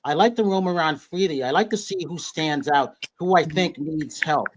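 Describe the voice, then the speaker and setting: Guy hosting, rambles his questions, voice a bit on the high side. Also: moderate pace, slight background noise. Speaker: host. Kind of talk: casual interview.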